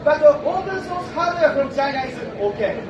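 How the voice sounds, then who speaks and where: High-voiced Japanese man in an echoing hall. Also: lively intonation, highs cut off, a crowd in the background. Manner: halting, searching for words with many fillers. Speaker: conference speaker. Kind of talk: conference Q&A in halting English. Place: conference hall. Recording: echoey hall, distant mic, audience around.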